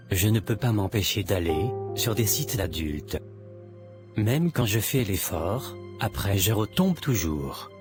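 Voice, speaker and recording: Man playing a guilty young churchman, low voice, apologetic, submissive, clear music behind. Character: guilt-ridden young churchman confessing his sin.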